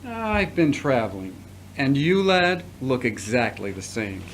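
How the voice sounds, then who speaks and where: An actor playing a patient, fatherly middle-aged priest in an audio drama, speaking in a measured, formal way. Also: mid-range voice, sing-song, poor audio. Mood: genial. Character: returning priest, patient, paternal, earnest, formerly accused. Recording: noticeable background noise.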